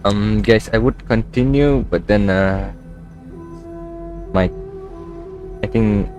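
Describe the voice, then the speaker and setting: A man doing casual live gameplay commentary as a streamer, haltingly thinking out loud. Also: lowish voice. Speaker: gaming streamer. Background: game music.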